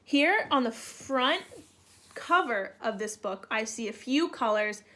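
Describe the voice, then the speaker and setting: High, slow, lively woman reading to children. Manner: simple, question-led, child-directed. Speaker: children's read-aloud teacher. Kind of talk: explaining and reading a picture book to young children.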